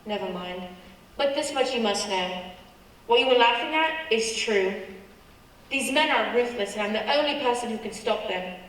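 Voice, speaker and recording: A woman's voice: on the high side, moderate pace, fairly bright tone, natural voice. A woman playing a secretive, frightened foreign secret agent in a radio play. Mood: urgent, secretive. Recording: very echoey hall, distant mic.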